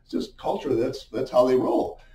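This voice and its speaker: Male business coach, middle-aged, mid-pitched mellow voice, chatty explainer style, recorded in a roomy, echoing space. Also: huge pitch swings, normal speed. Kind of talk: informal instructional talk at a whiteboard. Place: small indoor room with a whiteboard. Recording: roomy, muffled, distant-sounding echo.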